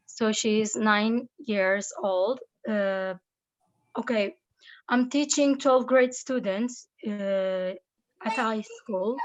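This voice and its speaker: A woman speaks slowly and haltingly in a rather high voice, searching for her words as she talks about teaching. The sound is dull and lo-fi, like video call audio. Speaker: teacher and parent. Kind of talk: casual talk in an online meeting.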